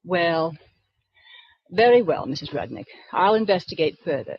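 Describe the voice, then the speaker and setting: An actress playing a prim, reserved librarian who speaks in a precise, proper way, in 1940s radio acting. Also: female voice, lowish voice, animated, normal speed, slightly rough, no echo. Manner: precise, proper, rule-bound speech. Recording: dull dry 1940s radio broadcast recording.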